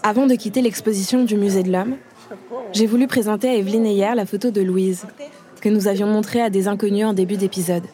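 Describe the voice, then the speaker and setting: A woman hosting a podcast, speaking conversationally in a halting, thoughtful way. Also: medium pitch, fairly even intonation, dark tone. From Paris suburbs, France. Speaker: podcast host. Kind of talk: conversational personal account.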